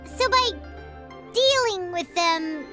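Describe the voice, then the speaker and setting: A woman voicing a tiny, chirpy, excitable female fairy companion who chatters and calls herself by name, in a video game. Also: extremely high pitch, slow, full character voice. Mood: excited. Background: game music.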